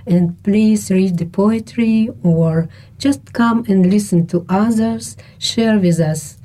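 A woman's voice: medium pitch, slow pace, warm tone. A woman, a panel guest speaking earnestly with phrasing. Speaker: panel guest, event organizer.

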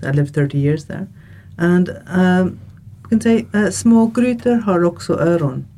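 A woman from Ireland, calmly explaining her views as a panel guest. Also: lowish voice, unhurried, a little hiss or hum. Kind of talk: conversational discussion.

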